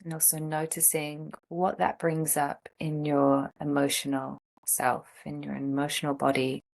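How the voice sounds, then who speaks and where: A woman teaching a guided meditation, speaking gently in short phrases with long pauses between them. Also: low voice, little pitch movement, vocal fry. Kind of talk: guided meditation, slow instruction.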